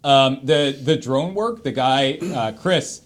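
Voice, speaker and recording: A man's voice: mid-range voice, slow pace. A man with a Canadian accent, casually and enthusiastically giving recommendations as a panelist on a livestream.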